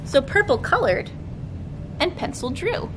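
A young woman hosting a storytime, reading aloud to children in an expressive, sing-song way. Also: a little slow. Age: young adult. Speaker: children's librarian, storytime host. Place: library room with bookshelves. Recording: clearly noisy room recording, low rumble.

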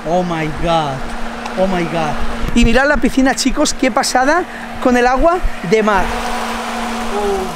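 A man in his thirties with a Spain Spanish accent, chatting casually and excitably as a playful family vlogger. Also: high-pitched, measured pace, pauses often. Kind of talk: casual vlog chat. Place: seaside house terrace and pool, outdoors. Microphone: handheld camera mic. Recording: noisy outdoor action-camera audio.

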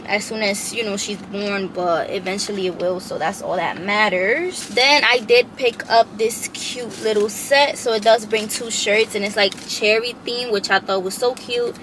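A woman's voice: medium pitch, noisy background. A woman in her twenties, sitting in a parked car and filming a casual, rambling haul vlog, full of "like" fillers, into a camera on her dashboard. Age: 20s. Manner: chatty, rambling with many "like" fillers. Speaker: vlogger.

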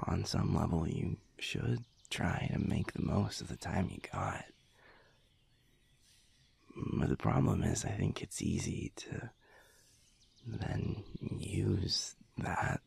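A very slow, deeply creaky voice for a sweet werewolf lover in an intimate audio roleplay, acted by a man. He is low and breathy, musing tenderly in halting, soft-spoken phrases that often drop toward a whisper. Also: exaggerated voice. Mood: musing, gentle. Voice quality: breathy, gravelly half-whisper. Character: sweet, reflective werewolf boyfriend, tender and unhurried.

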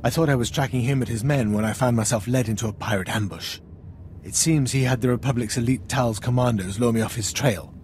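A man's voice: mid-range voice, fairly quick, a little mellow, a little hiss or hum, lightly acted. An actor playing a deferential, earnest military commander in a video game, speaking formally and apologetically as he explains. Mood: apologetic, earnest.

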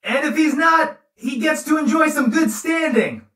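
A woman's voice: high voice, unhurried, fairly bright tone. A woman acting a serene, mystical believer in fate for a video game, heard in a roomy, distant recording. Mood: serene, certain.

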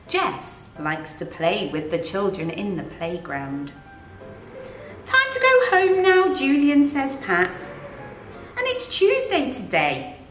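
A middle-aged woman with an English accent reading a children's picture book aloud in an animated, sing-song way, asking the children questions. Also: medium pitch. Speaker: head of early years, reading aloud to children. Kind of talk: children's storybook read-aloud. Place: in front of a green screen with book pages. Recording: echoey room, faint music behind.

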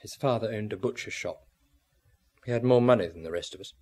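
A man's voice: fairly low pitch, brisk, a little mellow, natural voice. An actor playing a former art student wearily recalling his youth in a radio drama. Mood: wistful. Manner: reminiscing, narrative. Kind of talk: old radio drama acting.

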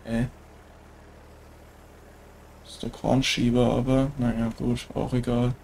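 A man with a German accent talks his way through a farming game in an easygoing, chatty voice, dropping little filler tags as he goes. He has a cold, so his nose sounds stuffed up, and his voice has a gravelly rasp as he speaks slowly and unhurriedly. A faint noise sits underneath the recording. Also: medium pitch, monotone, darkish tone. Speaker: let's play gamer. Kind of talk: casual gameplay commentary.

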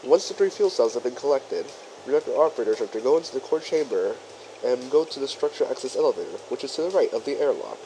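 A man playing a courteous chief engineer who presents a training film in formal, measured, instructional narration. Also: medium pitch, animated, dark tone, slight background noise, close to an everyday voice, rough recording. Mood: instructive, formal. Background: music behind the voice.

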